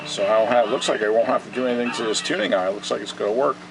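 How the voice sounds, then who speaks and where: A man giving a casual, unhurried repair demonstration, thinking aloud in a folksy way, recorded on a camera microphone in his shop. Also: lowish voice, creaky, over music. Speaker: radio repair vlogger. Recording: steady noisy camera sound in workshop.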